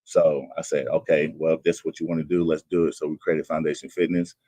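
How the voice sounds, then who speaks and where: A man in his thirties with a low, deep voice. He speaks sincerely and plainly in an interview, recorded dry and close. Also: little pitch movement, fairly quick, mellow, no echo. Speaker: personal trainer, guest. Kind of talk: earnest conversational interview.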